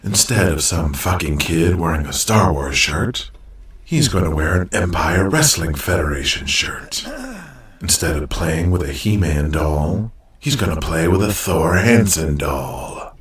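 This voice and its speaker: A man's voice: measured pace, a bit bright. A man playing a grandiose, menacing, dictator-like wrestling promoter in an audio drama, making a pompous speech. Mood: grandiose menace. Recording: booming echo, far from mic.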